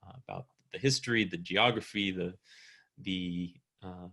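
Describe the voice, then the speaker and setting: A man who makes documentaries, speaking haltingly with many pauses and restarts on a video call. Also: deep voice, sharp tone. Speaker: documentary filmmaker. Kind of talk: conversational panel answer.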